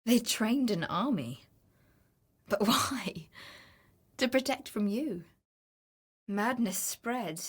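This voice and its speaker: A woman's voice: a little high, lively intonation, slow, long pauses, husky, heavily acted. A woman playing a wry, greedy female explorer and treasure hunter in a fantasy video game, with a dry, teasing, conversational manner. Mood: sober, troubled. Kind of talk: video game character voice lines.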